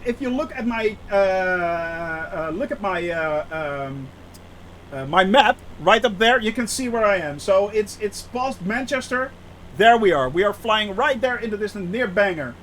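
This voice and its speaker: Dutch-accented male streamer, high voice, chatty and halting, faint hum underneath. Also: a bit bright. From Netherlands. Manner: chatty, hesitant, many restarts, laughs often. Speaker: flight sim streamer. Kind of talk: casual live-stream chat.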